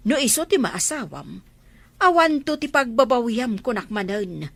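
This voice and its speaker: Old mother, played by a woman, insistent and pleading, Ilocano accent, very expressive melody, radio drama. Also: a little high, moderate pace, gravelly, no echo, exaggerated voice.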